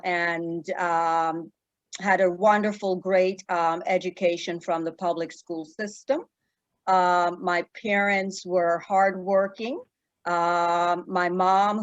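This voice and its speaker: A woman in her fifties from Jamaica, an attorney answering interview questions in a measured way with many fillers and pauses. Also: fairly low pitch, no room sound, lo-fi sound.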